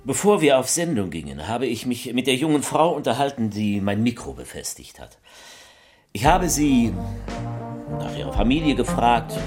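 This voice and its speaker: An actor playing a grave, fervent political orator, delivering a rhetorical, slightly absurd theatrical speech with deliberate phrasing and emphatic repetition. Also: male voice, mid-range voice, big pitch swings, darkish tone, breathy edge, soft background music. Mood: probing, earnest.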